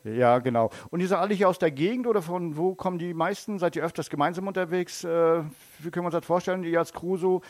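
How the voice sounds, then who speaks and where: Chatty joking radio host. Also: male voice, sits high, fast talker, no room sound. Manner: chatty, joking, many fillers. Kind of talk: live on-site interview at a festival.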